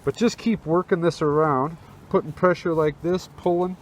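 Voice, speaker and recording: A man's voice: fairly high pitch, fairly even intonation, moderate pace. A man giving a casual, easygoing step-by-step tutorial through a face mask, recorded outdoors on a rooftop. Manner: informal, easygoing step-by-step talk. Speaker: host demonstrating a how-to restoration. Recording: noisy outdoor camera audio.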